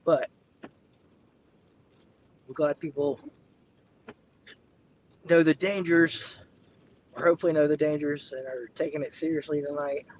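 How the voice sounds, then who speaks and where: Man with a raspy, flu-worn voice, a bit higher pitched, light road noise behind him, talks to viewers while driving. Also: fairly even intonation, a little slow, pauses often, a little mellow, rough recording. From Southeast Georgia. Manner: easygoing, addresses viewers as y'all. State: getting over the flu, hiccups. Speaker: weather livestreamer. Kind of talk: live storm-chasing stream commentary. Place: inside a car, driving on icy roads.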